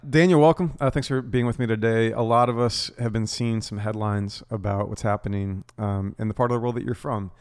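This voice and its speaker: Earnest guy pastor talking to his church, smooth voice, pretty dry sound. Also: lowish voice, steady tone, a little mellow, dry recording. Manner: earnest, measured, addresses the congregation. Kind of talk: church talk and interview.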